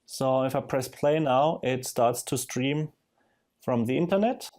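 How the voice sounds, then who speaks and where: A man narrating a casual software screen demo in a halting way, thinking aloud as he goes. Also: medium pitch. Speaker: software demonstrator. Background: music from audio players being demonstrated.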